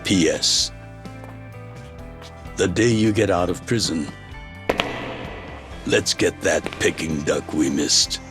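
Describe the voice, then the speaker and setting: Fatherly older yakuza boss, low voice, tender wistful letter voice-over for a game, soft music underneath. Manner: measured, heartfelt letter reading. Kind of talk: video game drama voice-over.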